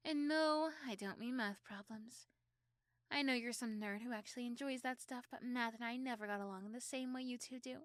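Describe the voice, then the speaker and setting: A woman voicing a possessive, protective teenage girlfriend in an ASMR roleplay, doting and intense, with dramatic pauses and repeated words. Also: on the high side, smooth, no room sound, clean studio sound, close to an everyday voice. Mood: smug, sly cheer. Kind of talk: ASMR roleplay acting addressed to the listener.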